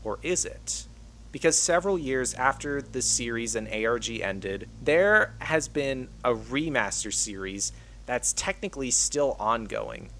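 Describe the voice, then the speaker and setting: A man's voice: medium pitch, sing-song, measured pace, bright, forceful tone, a little hiss or hum. A man reading a scripted video essay as the narrator of an online channel.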